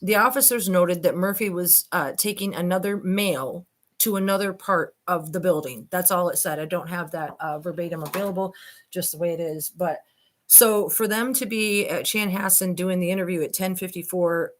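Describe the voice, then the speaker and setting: Low-pitched woman, skeptical conversational commentator. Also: normal speed. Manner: conversational, addresses listeners directly, skeptical asides. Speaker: online commentator and host. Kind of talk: reading a transcript aloud with informal commentary.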